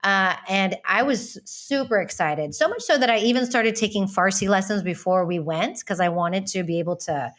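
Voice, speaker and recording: A woman in her thirties from Texas, speaking as a guest in a relaxed interview. Her voice sits at an ordinary middle pitch. She talks in a candid, reflective way and laughs easily. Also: moderate pace, hardly any pauses. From Texas, United States. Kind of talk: casual interview chat.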